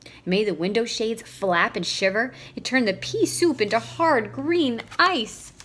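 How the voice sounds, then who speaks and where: A woman's voice: on the high side, lively intonation, a little slow, a bit bright, slightly rough. A woman reading a storybook aloud to young children in a teacherly way, putting questions to her listeners.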